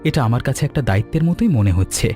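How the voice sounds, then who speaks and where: Man playing a lively, fast-talking kind gentleman. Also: medium pitch, faint music behind, lightly acted. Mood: earnest. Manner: expressive, earnest, caring. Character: kind, generous gentleman customer, eager to help. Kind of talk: animated cartoon story dialogue.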